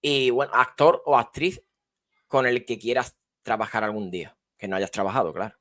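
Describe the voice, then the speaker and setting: A man's voice: medium pitch, fairly even intonation, moderate pace, fairly bright tone, no room sound, clean studio sound. A man hosting a podcast, asking short, friendly interview questions and giving brief prompts. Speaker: podcast host. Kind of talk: quick-fire interview questions.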